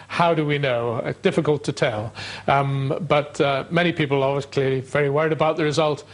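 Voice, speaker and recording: A man hosting a live call-in talk show, relaxed and self-deprecating, with many fillers. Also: a little high.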